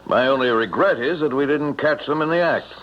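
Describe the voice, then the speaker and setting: An actor playing a shrewd, wryly amused police captain in a radio drama, speaking deliberately with measured hesitations. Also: male voice, mid-range voice, natural voice. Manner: deliberate, wry, hesitant pauses. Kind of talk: old-time radio drama acting. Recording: muffled, noisy radio drama recording.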